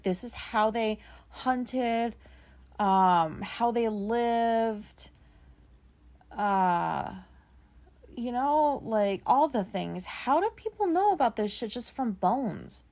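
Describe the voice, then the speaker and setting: A woman in her thirties with a raspy voice, talking casually and rambling on her solo podcast, with many fillers and some swearing. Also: a little high, expressive, takes it slowly, long pauses, a little mellow. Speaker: podcast host.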